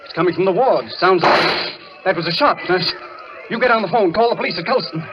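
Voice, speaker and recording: A steady, authoritative doctor played by a male actor speaks in a clipped, slightly high voice. It is old radio drama acting. The recording is muffled, with music behind. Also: brisk, close to an everyday voice. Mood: urgent. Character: brisk, steady asylum doctor in charge.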